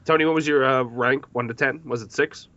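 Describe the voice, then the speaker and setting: A man's voice: mid-range voice, fairly even intonation, brisk, pushed and bright, no echo, muffled recording. A man hosting a podcast panel discussion in a casual style, addressing guests and listeners. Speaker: podcast host.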